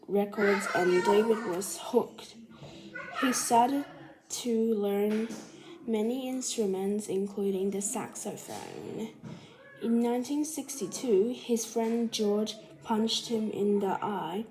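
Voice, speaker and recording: A woman reading a children's biography aloud like a storybook, carefully and a little haltingly. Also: medium pitch, darkish tone, rough recording. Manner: careful, halting reading with stumbles and self-corrections. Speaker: storybook reader.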